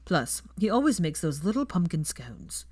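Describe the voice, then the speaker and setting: A woman's voice: lowish voice, big pitch swings, normal speed, a little mellow, husky, no room sound. A woman voicing a dry, teasing young wizard man with a mocking, sweary drawl, in a story reading.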